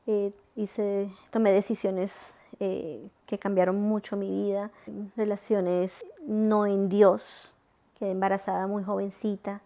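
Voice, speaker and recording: A woman from Colombia, a grandmother, telling her personal faith story slowly and hesitantly, with many pauses and restarts. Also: mid-range voice, a little mellow. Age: older. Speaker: testimony speaker.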